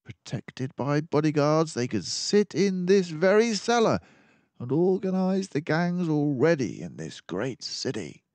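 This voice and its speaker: A man voicing a brilliant, excitable, commanding mouse detective in a children's storybook, speaking in an emphatic, theatrical way. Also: a little high, unhurried, dry recording, pitched above the performer's own voice. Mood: indignant, urgent. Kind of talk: children's storybook read-aloud.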